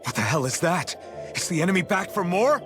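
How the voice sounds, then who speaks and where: A man voicing a steady, thoughtful young warrior in a video game cutscene, frank and questioning. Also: high voice, fairly quick. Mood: wary. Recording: music behind the voice.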